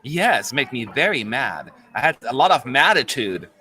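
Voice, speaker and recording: Playful, animated male host. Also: mid-range voice, normal speed. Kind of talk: casual chat.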